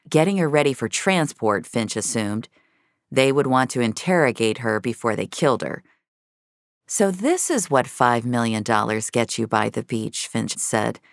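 A woman narrates scripted fiction in a very deep voice. Her pitch moves around in a lively way. The recording is dry and close, with little room sound. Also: no echo.

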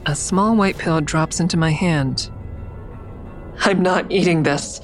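A woman's voice: on the low side, slow, soft background music. An actress in an audio drama playing an exhausted, defiant woman who tells of her ordeal in a grim, blunt way. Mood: desperate, defiant. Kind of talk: first-person dramatic narration in audio drama.

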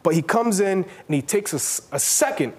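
A man's voice: sits high, animated, normal speed, darkish tone, slightly rough. A man who is a former player and soccer pundit, breaking down play on a sports panel with emphatic repetition. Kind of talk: analytical sports panel discussion.

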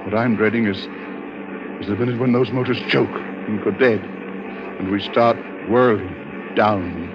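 An actor playing a gruff, fretful middle-aged businessman in a 1940s radio thriller. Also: on the low side, fairly even intonation, measured pace, background noise. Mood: weary, dreading. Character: gruff, fretful older businessman, the boss aboard a doomed plane. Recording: muffled old radio recording with music.

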